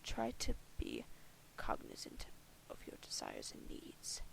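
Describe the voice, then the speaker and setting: A woman's voice: takes it slowly, in a whisper, natural voice, low-quality recording. A woman voicing a haughty, imperious alien troll who scolds in formal, pompous language.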